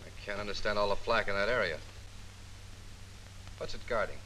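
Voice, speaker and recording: An actor playing a confident, meticulous Army photo interpreter in a 1940s training film, explaining things precisely. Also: male voice, fairly low pitch, normal speed, bright, forceful tone, rough recording. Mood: self-assured. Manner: precise, self-assured, explanatory. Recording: 1940s film soundtrack with faint hiss.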